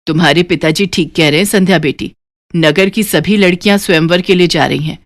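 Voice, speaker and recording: A woman voicing a caring, encouraging middle-aged mother in an animated story, speaking in a coaxing, motherly way. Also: fairly low pitch, a little fast, pitched below the performer's own voice, lightly acted.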